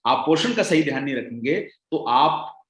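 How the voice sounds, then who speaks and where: A man giving a motivational sales training talk, rousing and calling his listeners friends, his voice swinging between loud and hushed. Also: medium pitch, expressive, moderate pace, reverberant.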